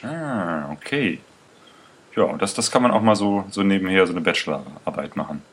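A low-pitched man hosts a chatty interview. His voice swoops around a lot as he asks questions, stumbling over fillers along the way. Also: animated, normal speed, a little hiss or hum. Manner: hesitant, filler-heavy. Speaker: host. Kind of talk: conversational interview.

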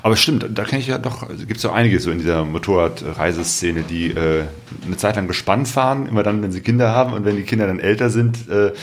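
A man's voice: lowish voice, quick pace, few pauses, slight background noise. A man hosting a podcast, asking questions in a casual chat with hesitant, searching phrasing. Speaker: podcast host.

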